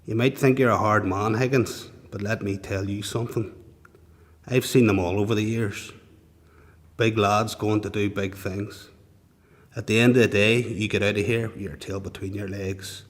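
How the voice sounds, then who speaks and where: Man playing a deep-voiced, sneering prison boss. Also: fairly even intonation, natural voice. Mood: sneering, menacing. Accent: Northern Irish. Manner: slow-burning sarcasm, condescending. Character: sneering, domineering male prison authority, taunting and world-weary. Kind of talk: radio play acting.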